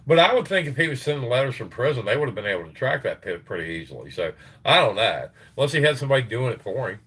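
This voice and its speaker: A middle-aged man hosting a casual livestream, reading sources aloud and chatting loosely with his viewers. His voice is getting tired and hoarse. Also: medium pitch, very animated, fast talker, fairly bright tone. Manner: rambling, reads sources aloud, chats with viewers. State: voice tiring, gets hoarse. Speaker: livestream host.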